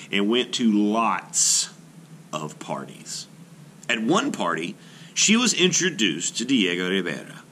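Guy teacher reading a kids book out loud, lowish lively voice, bit of background noise. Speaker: art teacher reading aloud. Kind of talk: read-aloud of a children's biography.

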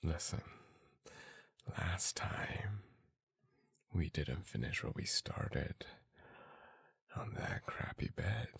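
A man acting a gruff, dominant young bully turned tender boyfriend in a romantic ASMR roleplay, breathy and raspy, halting and intimate. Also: low voice, full character voice. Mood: soft, teasing.

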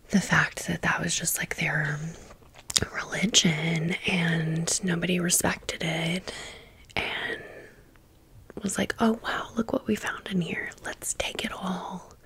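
A woman's voice: lowish voice, steady tone, slow, long pauses, sharp tone. A woman hosting an ASMR storytime, rambling casually and conversationally with wry asides. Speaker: ASMR video host.

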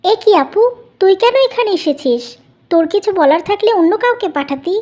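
Cartoon villainess played by a woman: selfish younger sister, very high-pitched, dismissive and accusing. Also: expressive, normal speed, darkish tone. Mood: cold, hostile. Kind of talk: Bengali animated family melodrama.

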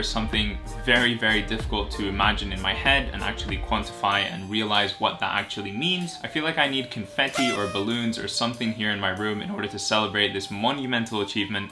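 A young man in his twenties, a YouTuber and medical student raised in Greece, chatting casually and directly to camera from a sofa in his living room. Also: fairly low pitch. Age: 20s. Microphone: camera at medium distance.

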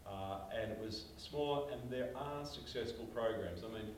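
A man's voice: medium pitch, unhurried, far from the mic, slight background noise. Emphatic older Australian man, echoey room. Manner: emphatic storyteller, gestures while talking. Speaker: panelist. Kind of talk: animated panel discussion. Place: small meeting room.

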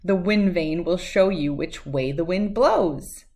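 A middle-aged American woman speaking expressively and clearly as a host of children's storybook read-alouds. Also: on the low side, slow, darkish tone. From United States, lived in Wisconsin.

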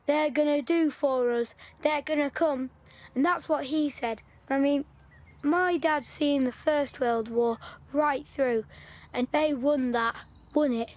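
Here is a gossipy village girl from wartime, as an actress plays her in a radio play. She talks high and eager, earnest and quick to chime in. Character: chatty local Yorkshire village girl in wartime. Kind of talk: audio drama acting.

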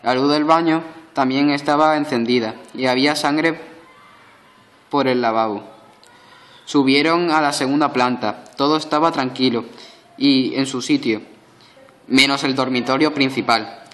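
A man's voice: medium pitch, a little slow, pauses often, a bit bright, natural voice. A man playing a grave, insistent old man who is secretly a ghost, telling a story solemnly in an amateur audio drama.

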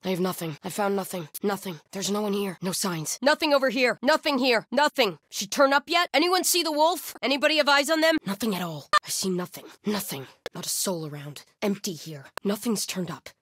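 Husky, terse cult soldier. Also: female voice, medium pitch, a bit bright, no echo, studio quality. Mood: calling out. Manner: terse, clipped commands and callouts. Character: hardened cult soldier woman, vigilant, menacing hunter of trespassers. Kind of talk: video game combat voice lines.

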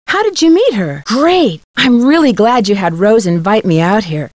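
A woman's voice: mid-range voice, sing-song, normal speed, darkish tone, dry recording, lightly acted. An actress playing a curious, polite young female amateur detective in a video game, friendly, inquisitive and articulate.

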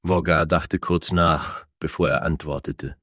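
A man's voice: very deep voice, fairly even intonation, unhurried, a bit bright, husky, dry recording, clean studio sound. A man reading scripted narration for a fantasy story as its narrator.

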